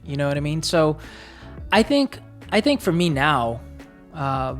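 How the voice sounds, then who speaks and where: Low-voiced reflective woman co-host. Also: very animated, a little slow, faint music behind. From United States. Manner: reflective, talks in long searching sentences with many fillers. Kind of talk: casual chat.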